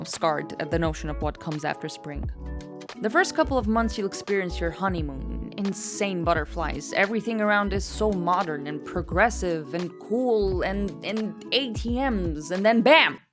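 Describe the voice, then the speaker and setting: A young woman from Egypt narrating a scripted vlog voice-over in a sardonic, self-deprecating, deadpan way. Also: fairly low pitch, normal speed. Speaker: vlogger. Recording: voice-over with background music.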